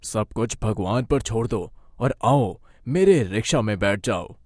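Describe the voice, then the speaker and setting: A man as a calm, mysterious rickshaw driver. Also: lowish voice, unhurried, dry recording, studio quality. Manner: calm, reassuring. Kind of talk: Hindi moral-story cartoon dub.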